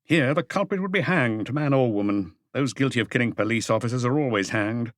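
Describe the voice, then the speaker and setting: A man's voice: medium pitch, fairly quick, no echo, natural voice. A grave Scotland police inspector, read by a male narrator speaks formally and seriously. The audiobook recording is dry and close.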